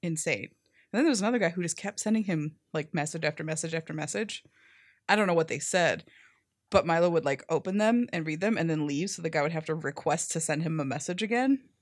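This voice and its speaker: A young woman with a low, fairly deep voice tells stories casually on a podcast. She is animated and chatty, and she fills her sentences with "like". The sound is close and dry, with no room around it. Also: a little fast, dry recording. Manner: animated storyteller, says "like" often. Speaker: podcast co-host. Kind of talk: casual chat.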